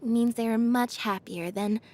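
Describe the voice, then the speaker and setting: A woman voicing a quiet, deadpan young magician's assistant in a video game, speaking in a reserved, measured, softly detached manner. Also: fairly high pitch, heavy background noise, natural voice. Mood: calm, informative. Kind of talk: scripted video game character voice lines.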